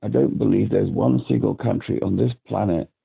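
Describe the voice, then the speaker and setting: Male British caller on a phone line, very gravelly and husky, agitated and rambling. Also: on the low side, moderate pace. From Britain. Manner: rambling, agitated, repeats himself. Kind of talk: phone call to a helpline. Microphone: telephone.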